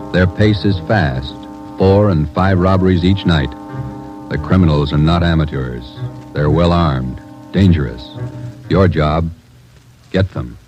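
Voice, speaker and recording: A male announcer from 1940s radio drama. His pitch is exceptionally low and his delivery grave and emphatic. Strong music plays under the voice. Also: dull audio. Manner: solemn, emphatic. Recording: old 1940s radio recording.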